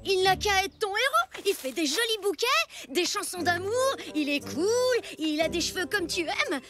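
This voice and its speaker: A woman voicing an earnest, excitable and easily flustered young boy in a children's cartoon. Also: very high-pitched, normal speed. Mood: exasperated. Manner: hesitant, pleading, excitable. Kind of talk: French animated children's cartoon dubbing. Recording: music under the voice.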